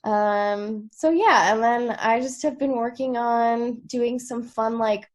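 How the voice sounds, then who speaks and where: A woman, an actress, chatting casually and brightly about her work on a remote video call. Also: a little high, takes it slowly. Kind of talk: casual chat.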